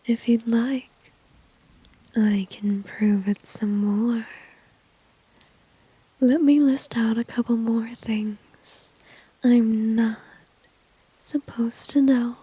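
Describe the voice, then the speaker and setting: A woman acting a confident, flirty, dominant villain in an ASMR roleplay, whispering softly and teasingly with smug self-assurance. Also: a little high, steady tone, no room sound, heavily acted, low-quality recording. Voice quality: breathy, soft whisper. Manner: slow, teasing, smug, coaxing.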